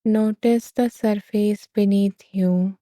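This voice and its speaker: Woman, meditation guide, very dark mellow voice, even and flat, dry close sound. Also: mid-range voice, no echo. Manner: slow, soothing, gentle pauses.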